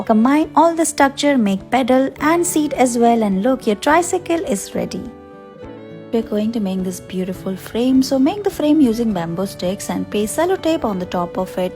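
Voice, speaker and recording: A woman's voice: mid-range voice, warm tone. A woman giving simple step-by-step craft instructions in short phrases as a tutorial voice-over. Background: background music.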